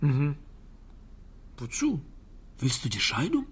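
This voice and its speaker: An actor playing a wry, evasive private detective in a radio drama, husky, dry and offhand in a naturalistic style. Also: male voice, fairly high pitch, wildly swooping pitch, slow, exaggerated voice. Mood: dry, sardonic.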